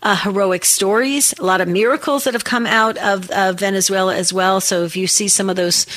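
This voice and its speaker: Earnest woman radio host, slightly raspy. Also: mid-range voice, steady tone, dry recording. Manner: earnest and warm-hearted, quick with fillers and restarts. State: slightly raspy voice from a long day on air. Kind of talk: live call-in radio prayer show. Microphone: studio microphone.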